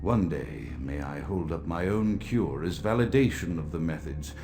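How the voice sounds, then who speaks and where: An actor playing an ancient, mad vampire psychiatrist in a video game, delivering a formal, pompous, archaic monologue. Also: male voice, very deep voice, animated, moderate pace, a little mellow. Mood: learned, aggrieved. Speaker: recorded audio diary narrator in a video game. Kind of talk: theatrical scripted monologue. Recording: faint music behind the voice. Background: faint music.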